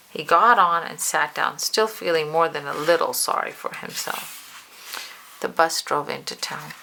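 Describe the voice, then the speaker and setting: A woman reading a children's storybook aloud in an animated way, stretching words for emphasis and addressing the listener. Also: low voice, gravelly, slight background noise. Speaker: storybook reader. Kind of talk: expressive children's read-aloud narration.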